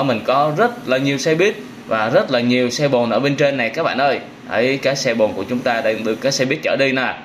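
A man's voice: mid-range voice, fairly bright tone, a little hiss or hum. A young man gives simple, exclamatory, repetitive commentary on a vehicle game for children. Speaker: host of a children's gaming channel.

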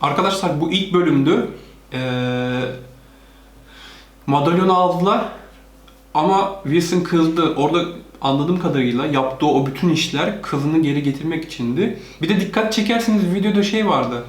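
A man's voice: a little high, moderate pace. A man, probably a parent, hosting casual reaction and gameplay commentary, explaining things to viewers and a child. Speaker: host of a family gaming channel. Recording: roomy echo, music behind. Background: cartoon and game audio with music.

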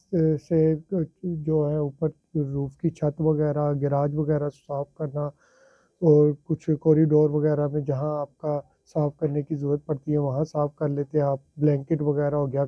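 A middle-aged man with a husky, slightly high voice, chatting politely to viewers as he explains each step of washing a car. He is in a home garage, with the sound dry and close. The phone recording is muffled and lo-fi. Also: no room sound. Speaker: vlogger. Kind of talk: casual how-to narration.

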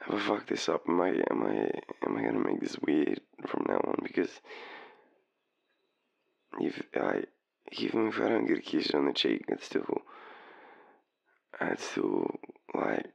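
A man's voice: a bass voice, wildly swooping pitch, a little slow, creaky, long pauses, lo-fi sound, full character voice. A man with an Australian accent playing a drunk, shy, apologetic young man in an intimate boyfriend roleplay, stammering through a late-night call. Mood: awkward, hesitant. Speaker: audio roleplay performer.

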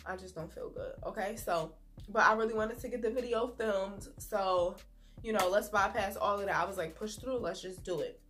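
A woman's voice: mid-range voice, soft background music. Sniffly woman vlogger with a cold. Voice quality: sniffly, husky from a sore throat. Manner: chatty and relaxed, drawn-out words, many "um"s. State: congested with a cold, throaty. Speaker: beauty vlogger. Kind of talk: casual wig review to camera. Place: small indoor room.

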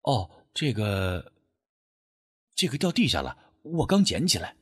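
A male narrator acts out an anxious apprentice in an audio ghost story. The voice is husky. He speaks deferentially and hesitantly, as a respectful pupil does to his master. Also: fairly low pitch, very animated, unhurried, pitched below the performer's own voice, heavily acted. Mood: nervous, deferential.

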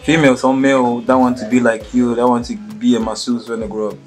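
A man's voice: mid-range voice, little pitch movement, fast talker, a little mellow, faint music behind. A man asking an interviewee simple, direct questions. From Cameroon.

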